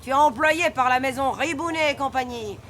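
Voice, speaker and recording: High-pitched bossy café owner. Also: female voice, a little fast, a bit bright, a little hiss or hum. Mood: dismissive. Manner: scolding, sneering, sarcastic. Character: bossy, mean, mercenary café owner woman, middle-aged. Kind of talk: French cartoon voice acting.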